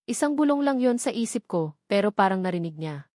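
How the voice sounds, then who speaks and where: Female romance narrator, Filipino accent, mid-pitched, emotive dramatic storytelling, dry recording. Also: animated, brisk, mellow, dry recording.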